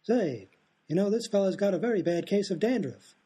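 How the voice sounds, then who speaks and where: A man playing a bumbling doctor in a radio comedy, formal, husky and politely oblivious. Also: sits high, expressive, fairly quick, mellow.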